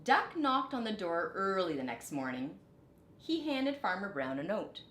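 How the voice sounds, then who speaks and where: A woman's voice: medium pitch, big pitch swings, unhurried, fairly bright tone. A woman teacher narrating a storybook to children in an explanatory, questioning manner. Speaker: teacher reading aloud. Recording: roomy echo, background noise.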